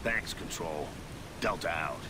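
An actor playing a tough, terse male soldier who leads his squad with gruff authority in a video game. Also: low-pitched, expressive, unhurried, heavy background noise. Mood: businesslike. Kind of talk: video game cutscene acting.

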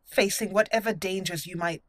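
A woman hosting a roleplay playthrough, musing through her commentary and deliberately voicing dialogue choices. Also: mid-range voice, fairly even intonation, no echo. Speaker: gaming channel host. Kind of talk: roleplay game commentary, reading dialogue in character.

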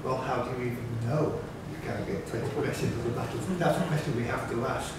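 A man's voice: medium pitch, quick pace. A man chatting casually and bantering as he takes part in a pub quiz. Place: indoors in a pub room. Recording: distant, echoey, noisy pub room.

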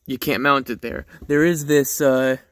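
Bright-voiced man, casual and rambling. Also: mid-range voice, steady tone, measured pace. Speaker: vlogger. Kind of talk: casual unscripted unboxing commentary.

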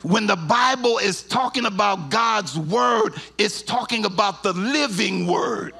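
A middle-aged man preaching a sermon in a church sanctuary into a handheld mic, moving from slow low teaching to shouted, half-sung climaxes. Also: creaky, husky. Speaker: pastor.

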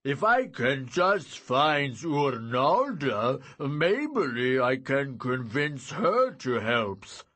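A man voicing a gentle, simple-minded giant who is glum and apologetic, speaking in laboured, broken grammar in an audiobook story. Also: mid-range voice, sing-song, slightly rough, heavily acted. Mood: glum, earnest. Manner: drawn-out, laboured delivery with quaint broken grammar.

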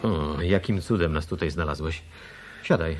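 An actor playing an uneasy but friendly hotel bookkeeper in a radio drama, halting and colloquial in speech. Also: male voice, extremely low pitch, loud music behind the voice. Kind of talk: Polish radio drama dialogue.

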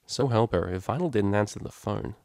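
A man's voice: lowish voice, a little fast, vocal fry, a little mellow, no echo. A man reading a scripted story as an audiobook narrator.

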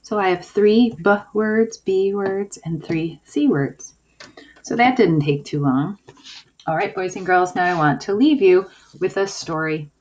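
Lady teacher doing a kids video lesson, talks slow and simple, kinda dull lo-fi sound. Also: medium pitch, a little mellow. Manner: simple, deliberate phrasing addressed to children. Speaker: early-years school teacher. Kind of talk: video lesson for young children. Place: indoors at a table, homemade video. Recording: dull lo-fi sound, clear noise.

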